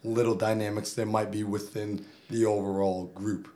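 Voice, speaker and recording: A man's voice: fairly low pitch, flat delivery, moderate pace, mellow. A man hosting a casual interview, talking in a laid-back, slangy way. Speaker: host.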